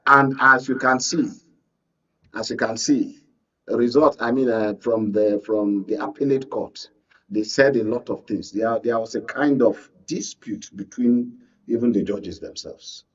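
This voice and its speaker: A man's voice: mid-range voice, a little slow, fairly bright tone, slightly rough, far from the mic, dull audio. A Nigerian man in a political panel discussion, speaking insistently and repeating phrases to hold the floor. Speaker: panel guest, media analyst. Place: radio and TV studio.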